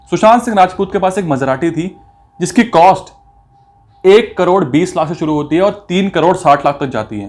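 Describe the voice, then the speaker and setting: A man giving opinionated commentary in an indignant, accusatory manner, asking rhetorical questions and mixing in English phrases. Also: high voice. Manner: mixes English phrases into speech. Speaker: video commentator.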